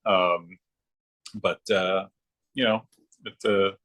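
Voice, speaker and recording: A middle-aged man with a deep voice talks casually as a guest. He reminisces in an informal, halting way, often feeling for the next word as he thinks aloud. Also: fairly even intonation, a bit bright. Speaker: guest, former comics production worker turned librarian.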